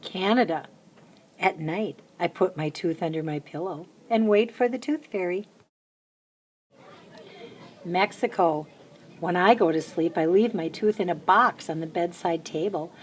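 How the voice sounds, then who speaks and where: A woman's voice: a little slow, long pauses, breathy edge. Woman reading a children's book aloud. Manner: lively, lifts her voice high for the children's chants. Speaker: reader of a children's picture book. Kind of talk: storybook read-aloud.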